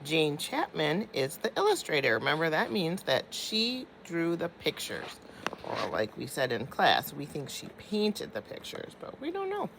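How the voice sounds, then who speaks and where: A woman's voice: deep voice, huge pitch swings, measured pace, creaky, fairly bright tone, slight background noise, poor audio. A woman teacher reading a children's picture book aloud, expressively, drawing out phrases and speaking directly to young listeners.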